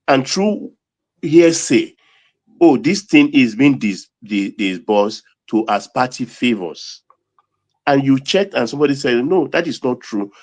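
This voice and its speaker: A man in a panel debate, speaking emphatically and stressing words slowly. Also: mid-range voice. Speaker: panel guest.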